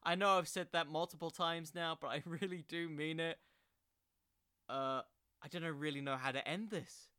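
A man's voice: on the high side, fairly even intonation, normal speed, sharp tone, smooth, no echo, clean studio sound. A man hosting a podcast, speaking in a theatrical, hammy storytelling manner. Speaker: podcast host. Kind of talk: theatrical fantasy storytelling about pro wrestling, then casual rambling.

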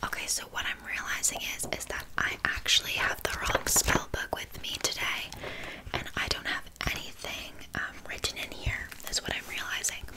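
A woman playing a flaky, passive-aggressive witch in an ASMR roleplay, speaking in a soft, breathy whisper with casual, deadpan patter. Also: moderate pace, natural voice, poor audio. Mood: casually unbothered.

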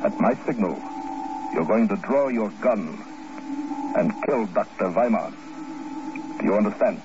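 An actor playing a smug, scheming general and hypnotist in a 1950s radio drama, with a commanding, deliberate delivery. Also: male voice, on the low side, expressive. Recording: noisy, muffled old radio recording.

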